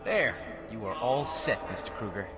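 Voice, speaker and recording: A man's voice: on the low side, big pitch swings, normal speed. An actor playing an eager, deferential male make-up artist with a chirpy manner. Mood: eager, encouraging. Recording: loud music behind the voice.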